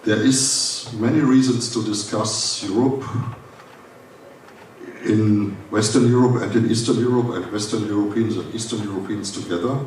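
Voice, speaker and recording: A man from Germany with a German accent, giving a formal welcome speech at a conference, pausing often with fillers and restarts. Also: on the low side, fairly even intonation, darkish tone, recorded from a distance. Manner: halting, many "uh" fillers and restarts. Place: conference hall. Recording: echoey hall, speaker well off the mic, faint hiss.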